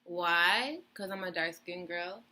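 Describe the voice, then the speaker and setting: A woman's voice: on the low side, slow, bright, forceful tone. A young adult woman in casual chat with a friend, speaking directly and dropping playful asides. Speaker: co-host.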